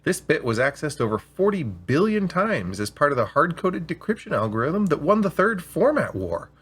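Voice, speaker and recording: A man's voice: on the high side, sing-song, normal speed, fairly bright tone, breathy edge, voice pushed up, heavily acted. A man voicing a boastful, showy trading AI for a story, in a grandiose, emphatic salesman manner. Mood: grandly boasting.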